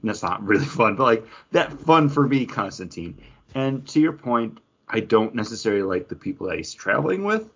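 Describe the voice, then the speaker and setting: A man's voice: on the low side, big pitch swings, moderate pace, fairly bright tone, husky, muffled recording. A man co-hosting a podcast, talking in casual conversation as he shares his opinions. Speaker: podcast co-host reviewing comics. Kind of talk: casual chat.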